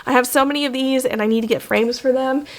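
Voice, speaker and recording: A woman vlogger with a slightly croaky voice from being sick, chatting enthusiastically through a product unboxing. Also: sits high, steady tone, fast talker. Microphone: desk microphone.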